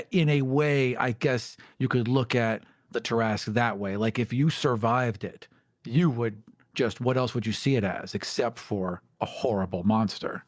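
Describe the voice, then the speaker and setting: A man's voice: fairly low pitch, dry recording. Man chatting opinions, close dry sound. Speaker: podcast panelist. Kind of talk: casual panel discussion.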